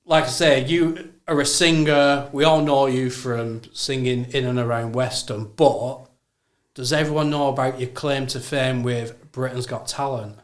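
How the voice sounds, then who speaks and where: A man's voice: mid-range voice, steady tone, unhurried. A man hosting a podcast, chatting casually and upbeat, laughing often. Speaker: podcast host. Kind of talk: casual interview chat.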